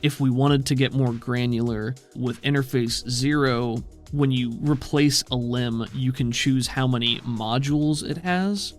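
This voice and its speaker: A man's voice: mid-range voice, steady tone, unhurried, hardly any pauses, soft background music. A man running a tabletop game as game master, casually explaining rules and thinking aloud.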